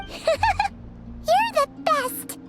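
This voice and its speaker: A woman voicing a tiny, cheerful, excitable fairy companion in a video game, with a squeaky childlike voice. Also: drawn-out words, music in the background, heavily acted. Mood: delighted. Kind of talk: video game cutscene voice acting.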